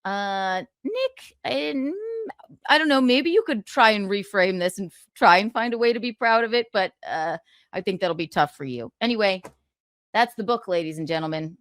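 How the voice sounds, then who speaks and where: A woman's voice: mid-range voice, expressive, normal speed, fairly bright tone, dry recording, studio quality. A woman livestreaming, switching between casual chat and reading book passages aloud, with gloating, sarcastic, theatrical emphasis. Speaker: streamer.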